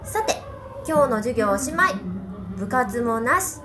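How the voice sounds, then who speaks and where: A woman's voice: a little high, expressive, unhurried, music in the background. A woman playing an earnest, friendly and polite schoolgirl transfer student at a magic school in an amateur audio drama. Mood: cheerful. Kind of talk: amateur audio drama acting with first-person narration. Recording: clearly noisy amateur home recording.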